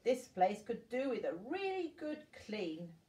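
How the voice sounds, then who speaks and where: A woman's voice: on the high side, big pitch swings, takes it slowly, dark tone. A woman reading the part of a fussy, easily astonished storybook lady, in an exclamatory, wondering manner. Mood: astonished, disapproving. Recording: roomy echo, distant from the mic.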